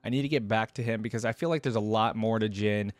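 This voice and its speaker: A man's voice: lowish voice, quick pace, no echo. Chatty young man, dry close mic. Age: young adult. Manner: informal, rambling, chatty. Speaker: YouTube reaction host. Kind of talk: casual reaction commentary to camera. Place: indoor room at a desk. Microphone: close desktop microphone, dry.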